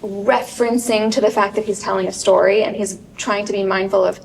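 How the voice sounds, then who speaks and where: A woman moderates a panel, building a long question slowly with pauses and fillers. Her voice is level and monotone with a gravelly edge. The mic sits well away from her, with a faint rumble underneath. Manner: halting, searching for words, many fillers. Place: event stage before an audience. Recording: distant mic in a large room, faint rumble.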